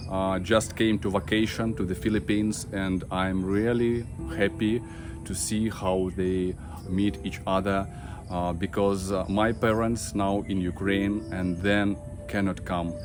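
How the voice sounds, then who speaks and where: A man in his thirties with a Russian accent, talking to camera about his family, halting with many fillers and restarts. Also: fairly low pitch, steady tone, over music. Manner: halting, many fillers and restarts, simple non-native grammar. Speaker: vlogger. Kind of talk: personal talk to camera.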